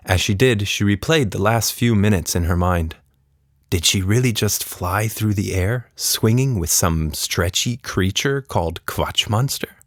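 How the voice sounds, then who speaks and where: A man narrating a scripted children's story as podcast host, with expressive, dramatic delivery. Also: fairly low pitch, no room sound, studio quality.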